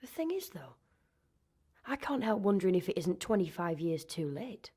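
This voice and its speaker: An actress playing a wry, searching young daughter in a radio drama monologue. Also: female voice, fairly low pitch, big pitch swings, moderate pace, mellow, breathy edge. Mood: wry, bitter. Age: young adult.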